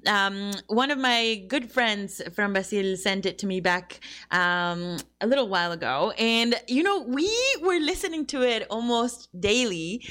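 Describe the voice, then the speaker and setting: A woman's voice: on the high side, a bit bright. A young adult woman from Ecuador hosting a campus radio show, chatting casually with a bubbly, giggly manner. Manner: giggly, bubbly, bilingual asides. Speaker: radio host. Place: radio studio.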